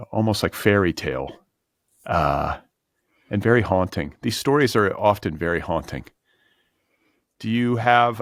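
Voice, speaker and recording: A man's voice: low voice, no room sound, clean studio sound. A man hosting a casual literary interview, talking in a conversational, discursive and somewhat tentative way. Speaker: podcast host.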